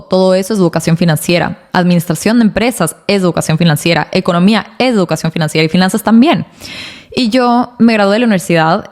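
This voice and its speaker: Chatty woman podcast host. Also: fairly low pitch. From Ecuador. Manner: chatty, many "eh" fillers, emphatic asides. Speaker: podcast host and financial coach. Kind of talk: personal storytelling monologue.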